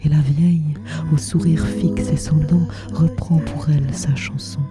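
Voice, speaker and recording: A woman narrating literary audio fiction in measured short phrases, with a hushed, breathy voice. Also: deep voice, fairly even intonation. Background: music.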